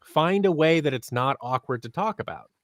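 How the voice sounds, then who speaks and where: A man's voice: fairly high pitch, lively intonation, normal speed, no echo, clean studio sound. A man in his thirties chatting casually as an interview guest, animatedly acting out bits of imagined dialogue. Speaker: guest, audio drama creator. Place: small office, indoors, on a video call. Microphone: close boom-arm microphone, headphones.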